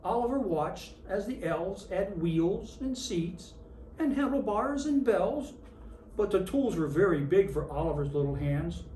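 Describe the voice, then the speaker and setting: A reader in character as Santa Claus, reading a children's picture book aloud with expressive storytelling. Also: mid-range voice, measured pace. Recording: roomy, distant mic with faint noise.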